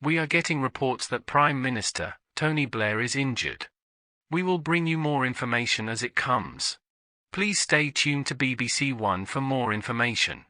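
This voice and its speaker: Formal guy doing mock emergency tv announcements, crisp bright voice, really dry sound. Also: medium pitch, normal speed, no echo. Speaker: continuity announcer.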